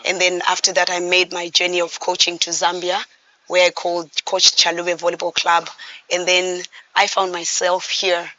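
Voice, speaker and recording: Woman, coach as guest, bright tone, flat delivery, dull sound. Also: on the low side, moderate pace. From Zimbabwe. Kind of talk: interview answers.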